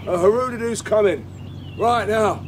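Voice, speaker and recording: A bold, cocky rabbit fighter in a radio play. His male voice sits fairly high and talks in a colloquial, brash way. Clear outdoor ambience noise runs behind him. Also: takes it slowly. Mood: cocky, reassuring. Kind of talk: radio drama acting.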